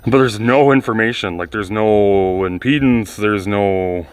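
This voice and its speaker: Low-pitched man, casual and informal. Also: measured pace, clear, resonant tone. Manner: informal, rambling, thinking aloud. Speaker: vlogger restoring old speakers. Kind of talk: casual hands-on video commentary.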